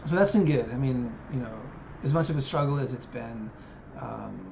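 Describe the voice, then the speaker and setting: A man's voice: mid-range voice, normal speed, creaky, a little mellow. A man in his thirties thinking aloud in a casual personal vlog, hesitant and musing, with frequent fillers. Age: 30s. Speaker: vlogger. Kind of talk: reflective personal monologue. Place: living in Japan. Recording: dull lo-fi audio with background noise.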